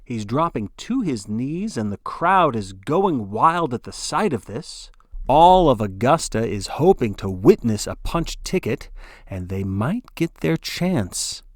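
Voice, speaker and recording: A man playing an excitable, fawning sports broadcaster in a dystopia, calling the action in a theatrical, grandiose manner. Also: mid-range voice, takes it slowly, dry recording, studio quality. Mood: dramatic. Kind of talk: play-by-play sports commentary.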